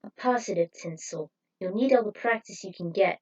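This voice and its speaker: A woman's voice: medium pitch, normal speed, a little mellow, distant mic, lo-fi sound, lightly acted. A woman playing an earnest male pilot who mentors firmly and reassuringly in a story. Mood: determined.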